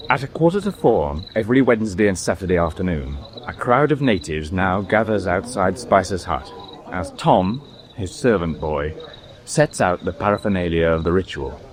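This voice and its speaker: A man acting as a measured storyteller, delivering scripted narration in a documentary drama. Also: sits low, sing-song. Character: measured male storyteller describing a curious ritual.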